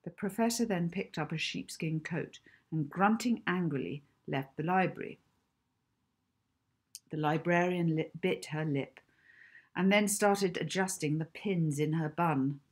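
A woman's voice: on the low side, unhurried, breathy edge. A woman, narrating a children's novel expressively. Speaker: author reading own children's novel.

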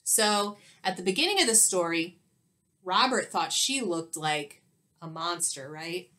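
A woman's voice: fairly low pitch, animated, unhurried, sharp tone. A woman in her thirties, a teacher, reading a picture book aloud expressively and explaining it to children. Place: small indoor room at home.

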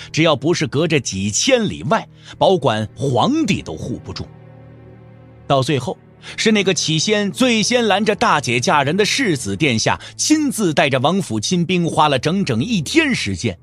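A man's voice: on the high side, a bit bright, breathy edge. A man narrating a novel as a storyteller in a dramatic, scripted audio drama style.

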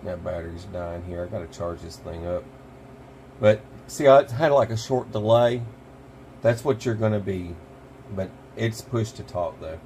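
A man's voice: deep voice, a little slow, noisy background. An American man hosting a casual product demo, talking in a folksy, informal, rambling way. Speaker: host of a prepper gear channel. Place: small cluttered indoor room.

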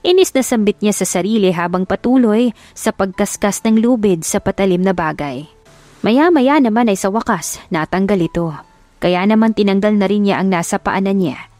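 A woman's voice: mid-range voice, animated, normal speed, darkish tone, no room sound, faint music behind. A woman reading scripted dramatic story narration as an audiobook narrator.

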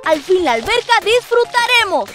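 A woman's voice: extremely high pitch, big pitch swings, moderate pace. A woman voicing an earnest, busy grown-up cartoon character who declares each action aloud in singsong rhyme. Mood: urgent, determined. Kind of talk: rhyming children's cartoon dialogue. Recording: children's music behind the voice.

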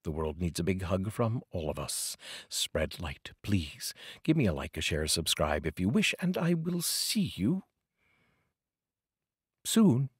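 A middle-aged man reads poetry with deliberate, dramatic phrasing. His voice is very low and husky, and his pitch moves in lively sweeps. He is close on a studio microphone in a dry space. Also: no echo. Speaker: poetry reader, channel host. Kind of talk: expressive poetry reading. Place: small curtained home studio, indoors. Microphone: close studio microphone on boom arm with pop filter.

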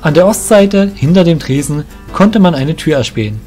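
A man's voice: fairly high pitch, lively intonation, normal speed, darkish tone, faint music behind. A man reading scripted narration for a fantasy audio drama.

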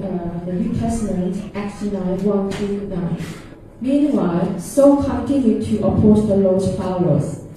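A woman reading a Bible passage aloud in a large church hall, steady with small slips, holding a microphone. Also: medium pitch, distant mic, slight background noise. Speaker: Bible reader. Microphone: handheld mic, far camera. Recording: echoey hall recorded from afar.

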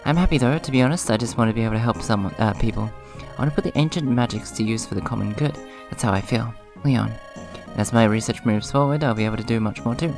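Hoarse guy doing a chill let's play, kinda subdued and rambly, reads the text out, game music going behind him. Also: medium pitch, fast talker. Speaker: gaming video host. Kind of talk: casual let's play, reading game dialogue aloud. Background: video game music.

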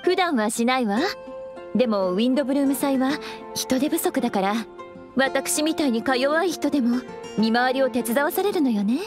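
A sultry witch librarian from an anime game, coy and teasing. Her voice is high and feminine, with faint music behind. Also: expressive, normal speed.

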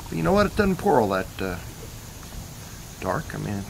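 A man's voice: medium pitch, sing-song, normal speed, vocal fry, background noise. A man casually reviewing coffee in his backyard, talking in an easygoing, rambling way as he thinks aloud. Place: backyard outdoors.

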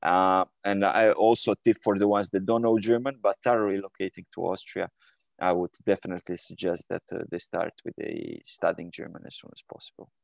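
A man's voice: low-pitched, fairly even intonation, brisk, creaky, no echo. A man in his thirties from Croatia, answering interview questions on a video call from a room at home, hesitant with many fillers and restarts. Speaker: guest.

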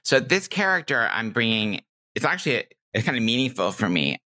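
A woman's voice: rumbling low, very animated, a little fast, bright, forceful tone. A middle-aged woman chatting casually and enthusiastically as a podcast guest, telling anecdotes. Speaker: podcast guest, game master.

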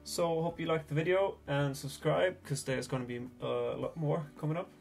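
A man in his thirties with a Swedish accent, a Swedish native speaker explaining fly tying in a relaxed, hesitant way from a small home workshop. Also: on the high side, moderate pace, creaky, faint music behind. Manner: relaxed, hesitant, thinking aloud. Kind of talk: casual tutorial narration.

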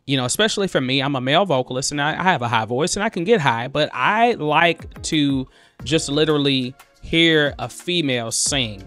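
A man in his thirties, an excitable, conversational host walking through music software in a home studio on a headset mic. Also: a little high, moderate pace. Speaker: music producer, tutorial host. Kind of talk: screen-share software tutorial. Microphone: headset with boom mic.

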